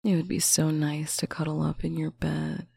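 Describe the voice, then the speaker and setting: A speaker in a slow, intimate roleplay, talking softly to a faraway partner on a bedtime call, often dropping into a whisper. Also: mid-range voice, monotone, gravelly, husky, no echo, studio quality. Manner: soft, affectionate, often whispered. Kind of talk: intimate roleplay monologue to the listener.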